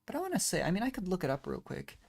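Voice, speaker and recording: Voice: mid-range voice, huge pitch swings, very fast, vocal fry, darkish tone, slight background noise. A game streamer giving casual live commentary in a rambling, musing way, drifting into tangents.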